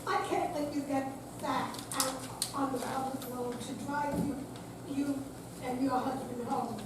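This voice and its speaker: A woman plays a stern female police officer with a high voice and a deliberate, official manner. It is a live staged reading in a very echoey room. The mic is distant and the noise is clear. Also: steady tone. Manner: formal, firm, deliberate. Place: senior center hall, live performance.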